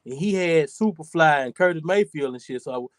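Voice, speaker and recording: A man's voice: a little high, lively intonation, brisk, dry recording, clean studio sound. A man in his forties hosting a podcast, talking in a loose, profane, rambling way. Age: 40s. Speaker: podcast host. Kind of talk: casual chat.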